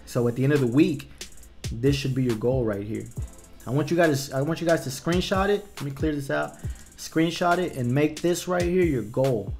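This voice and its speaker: A man's voice: on the high side, normal speed, darkish tone, soft background music. A young man from Texas, a barber, casually talking viewers through pricing and income math on a screen share, often repeating words as he thinks. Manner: conversational, repeats words while thinking, addresses viewers as "you guys". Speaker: barber teaching business. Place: small room indoors. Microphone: desk microphone at a computer, webcam in corner.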